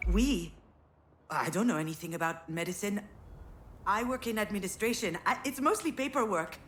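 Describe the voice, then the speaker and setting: A woman's voice: medium pitch, normal speed, a bit bright, slight background noise, close to an everyday voice. An actress playing a flustered, sardonic woman in a video game, with a wry, self-deprecating and hesitant manner. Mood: dry, bitter. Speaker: voice actor playing the lead character. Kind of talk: acted game dialogue with inner-monologue narration.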